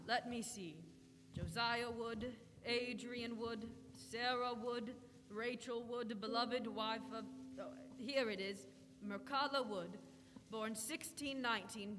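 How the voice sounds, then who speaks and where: A woman playing a prim, skeptical antiquarian doctor, fussy and proper, in old-fashioned radio drama style. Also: a little high. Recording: echoey hall with faint noise.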